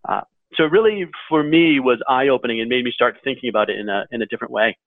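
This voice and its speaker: A man talking casually as a podcast co-host, with a loud voice that carries. He rambles and restarts his sentences. His audio sounds thin and phone-like, with no room echo. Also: mid-range voice, fast talker. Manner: loud, carrying voice. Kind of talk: casual chat. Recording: tinny phone-like line.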